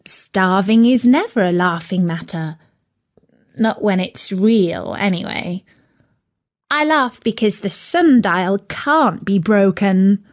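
A woman voicing a calm, patient female dog who explains things slowly. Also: mid-range voice.

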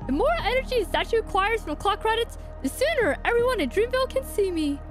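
A very high, swooping cartoon clock mascot voiced by a woman, chummy and full of boasts. The delivery is theatrical and sing-song, with music playing underneath. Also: animated, quick pace. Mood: chummy, eager.

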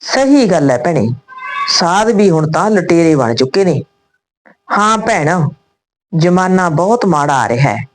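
Female storyteller, low register, reads scripted tales and dialogue phrase by phrase. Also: sing-song, measured pace.

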